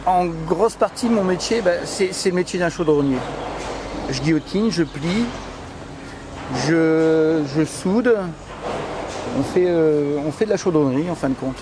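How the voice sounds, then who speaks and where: A middle-aged man with a fairly high voice answers informally as a workshop technician. He is hesitant and repeats himself, with clear noise in the background. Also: expressive, measured pace. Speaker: workshop technician being interviewed.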